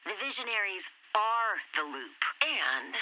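Very sharp, clinical scientist. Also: female voice, medium pitch, sing-song, unhurried, heavily acted, rough recording. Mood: proud, formal. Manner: precise, clinical, formal. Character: brisk, brilliant, self-important female lead scientist. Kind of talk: scripted recorded log in a video game.

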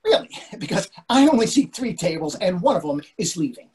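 A man's voice: high voice, big pitch swings, fairly quick, a little mellow, breathy edge, heavily acted. A man in his sixties playing an irritable, sarcastic husband in a readers theatre comedy over a video call. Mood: strained, sarcastic. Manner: sarcastic, exasperated. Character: irritable, indignant older husband dining out.

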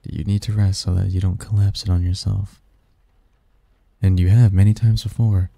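A man's voice: sits low, little pitch movement, moderate pace, gravelly, mellow, no room sound. A man voicing a doting, possessive sleep demon lover in an intimate romantic ASMR roleplay, teasing and tender in courtly phrasing. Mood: caring, coaxing.

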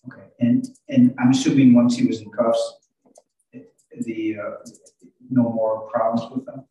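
Very echoey, far-off audio of an older man with a dark, mellow voice of medium pitch. He questions a witness in court, hesitant as he builds each question. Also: level tone, unhurried. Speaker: lawyer cross-examining a witness. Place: courtroom, heard over video call. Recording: very echoey courtroom, distant mic.

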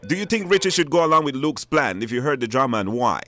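A man's voice: medium pitch, sing-song, fast, pushed and bright. A man with a Belizean accent hosting a live radio call-in show, putting questions to callers. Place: radio studio.

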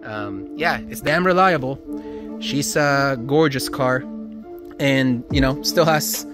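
A man in his thirties filming himself at arm's length, giving a relaxed, rambling walkaround of his car in a garage and then while driving. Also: medium pitch, takes it slowly, music in the background. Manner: relaxed, rambling, many ums. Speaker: shop owner showing his car. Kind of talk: casual vlog walkaround. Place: car shop garage, then inside a moving car. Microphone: camera held at arm's length.

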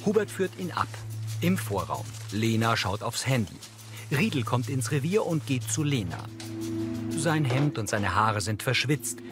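A man's voice: medium pitch, big pitch swings, a little slow, music in the background. A man narrating screen action as a scripted audio description.

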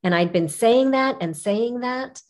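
A woman's voice: on the high side, expressive, unhurried. Middle-aged woman, native Arabic speaker, telling her story. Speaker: podcast guest, image consultant. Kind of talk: personal storytelling in an interview. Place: small furnished room indoors, video call. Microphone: video call, seated at webcam distance.

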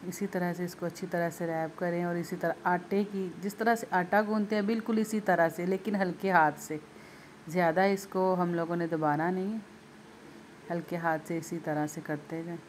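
A woman's voice: on the low side, fairly even intonation, moderate pace, slight background noise. Patient woman teaching home cooking. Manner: patient, repeats instructions, speaks directly to viewers. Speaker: home cooking video host. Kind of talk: step-by-step cooking demonstration. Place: home kitchen.

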